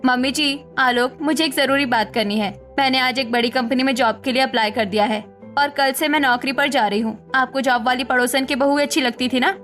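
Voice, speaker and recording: A woman's voice: sits high, brisk, hardly any pauses, fairly bright tone, soft background music. A woman playing a fed-up, resolute young daughter-in-law in a cartoon story, speaking earnestly and assertively. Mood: firm. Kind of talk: Hindi cartoon story dialogue.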